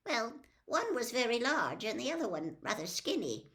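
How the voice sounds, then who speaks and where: A woman's voice: a little high, big pitch swings, moderate pace, a bit bright, voice pushed up. A woman voicing a nervous, batty elderly woman with a quavery voice who gabbles her words. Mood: flustered. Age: older. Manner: gabbling, flustered.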